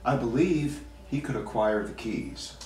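A man's voice: mid-range voice, expressive, a little slow, darkish tone, natural voice. A man playing a villain's businesslike lieutenant, matter-of-fact in his delivery. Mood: measured, conspiratorial. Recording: echoey room, distant mic, faint noise.

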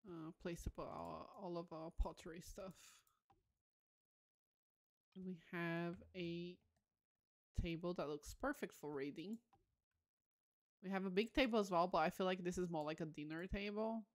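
A woman's voice: fairly low pitch, a little slow, long pauses. A woman livestream host giving casual game commentary, musing aloud with enthusiastic exclamations.

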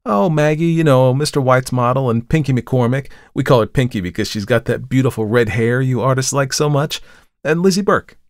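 A male reader puts on a merry young artist's model from a storybook. She chatters in a bright, girlish way, pitched up and full of swoops, with a dry, close sound. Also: very animated, moderate pace, no room sound. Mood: merry, chatty.

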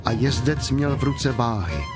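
A man narrating a dramatized scripture reading in a solemn, measured storytelling manner. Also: medium pitch, expressive, music in the background.

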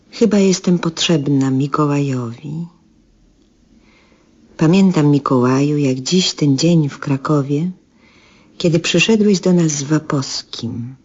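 A devoted goldsmith's daughter in a 1970s radio play. She speaks in a low register, very slowly and tenderly, as if reminiscing. The sound is slightly dull, like an old broadcast. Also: darkish tone. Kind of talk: 1970s Polish radio drama acting, intimate monologue.

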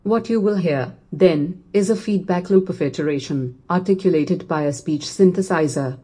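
A synthetic female voice-assistant voice from a smart speaker, reciting text in an even, machine-read way. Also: lowish voice, normal speed, breathy edge. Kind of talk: synthetic text-to-speech reading.

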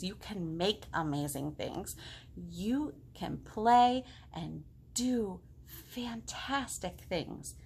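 A woman's voice: on the low side, a little hiss or hum. A woman in her thirties hosting a children's storytime, speaking simply and encouragingly to young listeners. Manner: simple, encouraging talk addressed to small children. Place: living room at home.

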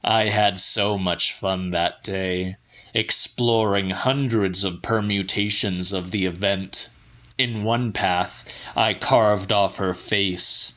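A man reading a horror audiobook in a measured, theatrical way. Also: lowish voice, fairly even intonation, pushed and bright. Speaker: audiobook narrator. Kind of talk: dramatic horror audiobook narration.

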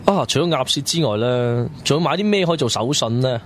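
A man's voice: mid-range voice, animated, brisk, slight background noise, lightly acted. A man with a Hong Kong Cantonese accent, playing a sulky, evasive young man in a radio drama. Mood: guarded.

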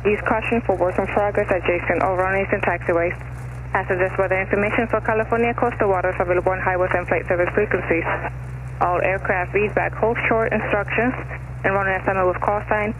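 A man reading an airport information broadcast over aviation radio in a rapid, clipped delivery. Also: sits high, fairly even intonation. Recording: radio broadcast with clear noise.

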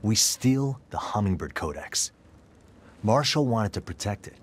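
A man's voice: on the low side, sing-song, unhurried. An actor playing a tough, swaggering young male gang member in a video game, blunt and foul-mouthed. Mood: blunt, confident.

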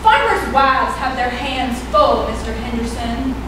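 A young woman on a school stage playing a loyal, sharp-tongued farm neighbor who speaks bluntly and defensively. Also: a little high, animated, a little slow. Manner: blunt, defensive, plain-spoken. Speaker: student actor. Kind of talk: amateur stage acting. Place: high school auditorium stage. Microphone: far camera in the audience. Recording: echoey hall, far off, noisy.

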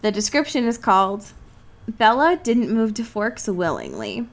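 A woman's voice: fairly high pitch, huge pitch swings, a little slow. A woman podcast co-host who chats casually and reads aloud in a giggly, embarrassed, apologetic manner. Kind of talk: casual chat with some reading aloud.

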